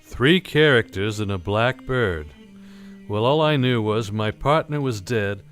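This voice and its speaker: An actor playing a tough, cynical, impatient private detective in a 1940s-style radio drama, with a curt, sardonic delivery. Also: male voice, mid-range voice, natural voice. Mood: wry, narrating.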